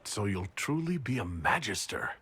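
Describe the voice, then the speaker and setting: A caring heroic leader in a fantasy game. His low voice is husky and moves with lively inflection. He sounds sincere and measured. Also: full character voice. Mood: sincere, concerned. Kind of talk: dramatic video game dialogue.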